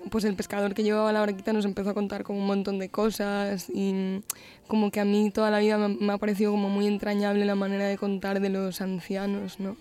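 Mellow young Galician woman, soft voice. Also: mid-range voice, creaky. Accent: light Galician. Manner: relaxed, thoughtful, uses many fillers. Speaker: musician guest. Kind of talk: radio interview. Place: radio studio.

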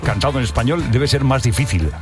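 A man's voice: medium pitch, expressive, a little fast, music in the background. A man hosting a radio show, asking questions in a chatty, enthusiastic way. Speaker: radio host. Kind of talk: casual radio interview.

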